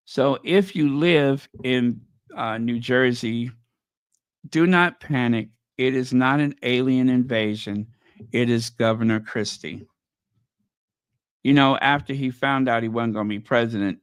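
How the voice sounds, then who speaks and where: A man's voice: medium pitch, no echo. A man hosting a casual livestream chat, losing his voice and making sarcastic, mocking asides. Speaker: livestream host.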